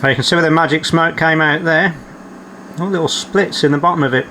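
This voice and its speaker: Repair-channel host, male, slightly higher pitch, methodical and matter-of-fact. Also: fairly even intonation, brisk, pushed and bright, a little hiss or hum. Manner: methodical, reads out measurements as he works. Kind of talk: calm narrated workshop repair. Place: home workshop.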